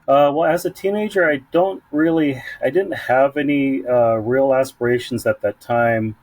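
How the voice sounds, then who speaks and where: A man from San Diego, a guest in an interview, talking about his work in a halting way with many ums and restarts. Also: medium pitch, darkish tone, no room sound. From San Diego, United States. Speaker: community program leader, guest. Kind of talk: conversational interview.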